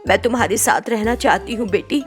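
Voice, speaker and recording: A woman's voice: medium pitch, fairly quick, faint music behind, natural voice. A woman playing a lonely, repentant middle-aged stepmother who speaks pleadingly. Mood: remorseful, pleading.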